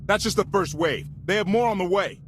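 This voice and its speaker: A man's voice: high voice, fairly quick, a bit bright, a little hiss or hum. A man playing a bossy starship pilot in a video game, urgent in his delivery. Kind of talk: cinematic video game acting.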